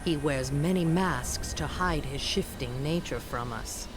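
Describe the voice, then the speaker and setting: An actress playing a hardened, vengeful mystic in a fantasy game, with a solemn, stern delivery. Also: female voice, low-pitched, unhurried, background noise. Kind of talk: fantasy video game voice acting. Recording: loud background ambience.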